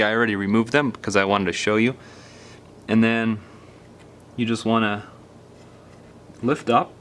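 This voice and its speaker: A man's voice: fairly low pitch, a bit bright, slight background noise. A man giving casual, step-by-step hands-on instructions as a repair tutorial presenter, patiently explaining in an informal, folksy way.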